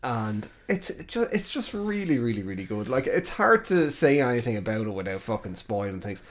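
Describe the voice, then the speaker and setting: Rambling Irish man with lively pitch. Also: fairly quick. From Ireland. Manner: rambling, swears casually, loses his train of thought. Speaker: podcast co-host. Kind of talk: casual chat.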